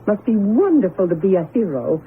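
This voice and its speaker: An actress playing a loving older farm wife and mother in an old-time radio drama, tender, wistful and gently fussing. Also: medium pitch, very animated, a little fast, close to an everyday voice. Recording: tinny, hissy radio drama recording.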